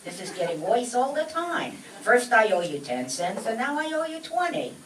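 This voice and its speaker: A woman's voice: fairly high pitch, very animated, normal speed. A woman playing a gullible, exasperated male stooge in a vaudeville-style radio comedy routine. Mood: indignant, flustered. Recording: echoey distant mic, noisy live stage.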